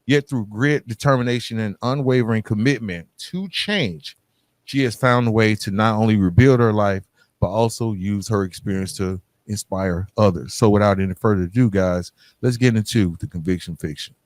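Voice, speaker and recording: A man's voice: lowish voice, moderate pace, no echo. A man hosting an interview podcast, speaking in an informal and encouraging manner. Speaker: podcast host.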